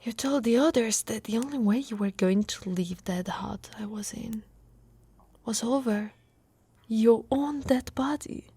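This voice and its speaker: A woman playing an affectionate, teasing female orc warrior wife in an intimate, soft-spoken ASMR roleplay. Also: a little high, dry recording, full character voice. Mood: fond, reminiscing. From Italy. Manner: soft, intimate, unhurried delivery with breathy whispered stretches.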